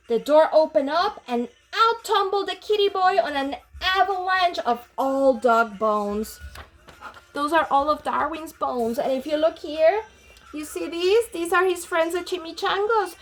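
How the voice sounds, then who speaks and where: A woman's voice: high-pitched, low-quality recording. A woman reading a storybook aloud to children like a teacher, dramatically, slipping between English and Spanish and explaining as she goes. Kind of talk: animated children's read-aloud.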